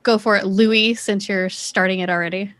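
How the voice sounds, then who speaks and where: A woman's voice: medium pitch, steady tone, moderate pace, a bit bright. A woman hosting a casual panel chat in a conversational way. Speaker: host of a discussion show.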